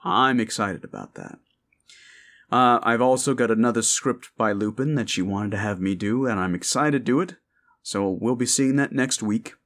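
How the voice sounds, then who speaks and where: A man's voice: mid-range voice, normal speed, a bit bright. A man, a voice actor and channel host, chatting casually and earnestly to his listeners in an unscripted ramble.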